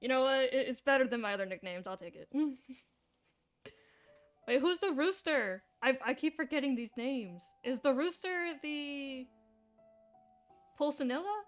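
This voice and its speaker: A woman giving casual, slangy live commentary as she streams a game, irreverent and quick to swear. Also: high-pitched. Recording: game music behind.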